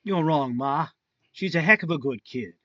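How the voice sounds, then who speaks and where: An actor playing a volatile, jealous young husband in a 1950s-style radio crime drama, with tense, clipped delivery. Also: male voice, a little high, lively intonation, moderate pace, a bit bright, no echo, muffled recording. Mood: uneasy, defensive. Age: young adult.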